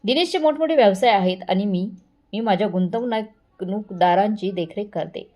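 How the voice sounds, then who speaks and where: A woman's voice: mid-range voice, normal speed, darkish tone, close to an everyday voice. A woman voicing a boastful, condescending wealthy mother-in-law with showy, patronizing politeness, in a family story.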